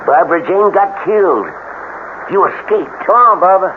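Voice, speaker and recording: Jeering Southern backwoods bully, high-pitched. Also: male voice, animated, moderate pace, phone-quality sound. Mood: vengeful taunting. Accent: American Southern. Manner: jeering, needling drawl. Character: taunting, vengeful backwoods bully. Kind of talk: old-time radio drama acting. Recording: thin, faintly noisy old radio broadcast.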